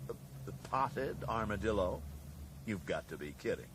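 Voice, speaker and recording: An actor in a 1980s British radio drama playing a brisk, blunt, casual government agent in charge. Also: male voice, on the low side, sing-song. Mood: casual, puzzled. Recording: radio drama with clear background noise.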